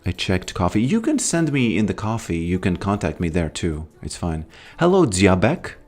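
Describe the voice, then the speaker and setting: Low-voiced man streaming a game. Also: wildly swooping pitch, moderate pace, a little mellow. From Latvia. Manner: rambling, musing, greets chat. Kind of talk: casual gaming livestream chat.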